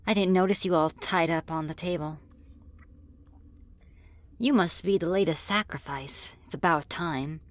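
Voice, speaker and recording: A woman's voice: on the low side, a little slow, long pauses, close to an everyday voice. A woman voicing a friendly, teasing female demon in an ASMR roleplay, with casual, politely menacing delivery. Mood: relaxed, curious. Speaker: roleplay voice performer. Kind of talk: ASMR roleplay, scripted one-sided dialogue.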